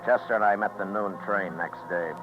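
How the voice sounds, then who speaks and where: Casting: man playing steady Western marshal, very low voice, terse, dull old radio recording. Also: over music, close to an everyday voice. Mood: matter-of-fact. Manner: terse, unhurried, firm. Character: stern, weary, steady male frontier marshal. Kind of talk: 1950s radio western acting and narration. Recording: muffled 1950s radio recording.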